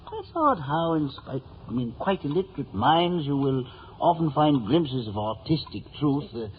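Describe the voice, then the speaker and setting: A man's voice: fairly high pitch, sing-song, normal speed. A man playing a pompous but kindly, hemming, pedantic family friend in 1950s radio drama style. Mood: fussy, kindly. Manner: hemming, pedantic, throat-clearing. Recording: dull, noisy vintage radio recording.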